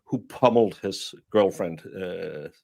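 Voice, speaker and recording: A man's voice: low-pitched, slow, creaky, a little mellow, dry recording. Man with a dry sound. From Denmark. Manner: rambling, many restarts, blunt. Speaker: guest commentator. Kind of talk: casual commentary chat.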